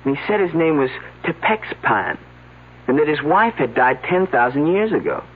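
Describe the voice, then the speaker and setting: A man's voice: fairly high pitch, big pitch swings, normal speed, slightly rough, full character voice. An actor playing an earnest young man working at a dig, who stammers when flustered. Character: earnest young male dig worker, eager and a bit flustered. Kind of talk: 1970s radio drama acting. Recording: tinny old radio broadcast.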